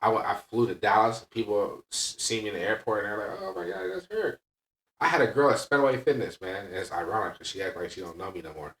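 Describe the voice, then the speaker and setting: Low-voiced blunt man in an echoey room. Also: fast, hardly any pauses, a bit bright. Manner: emphatic, blunt, often checking with "right?". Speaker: podcast host and powerlifting coach. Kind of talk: candid, casual podcast conversation. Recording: echoey room, distant from mic.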